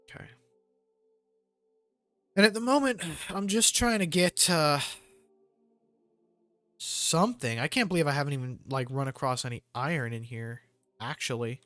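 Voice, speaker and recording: A man's voice: fairly high pitch, normal speed, long pauses, fairly bright tone, dry recording, faint music behind. A man giving casual, rambling gameplay commentary with jokey slang. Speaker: gamer hosting a let's play.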